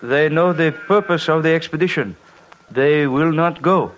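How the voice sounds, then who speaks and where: An actor playing a solemn, loyal mountain guide in an old radio drama, speaking formally and deliberately in short clipped sentences. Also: male voice, a little high, a bit bright, natural voice. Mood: grave. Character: solemn, loyal male Himalayan guide, earnest and fearless. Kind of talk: old-time radio adventure drama acting. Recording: dull, faintly noisy old radio recording.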